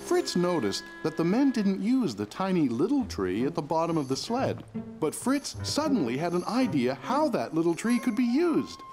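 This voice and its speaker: An actor playing a gentle, patient young father in a children's cartoon, soothing and a little teasing. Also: medium pitch, wildly swooping pitch, normal speed. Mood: warm storytelling. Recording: music under the voice.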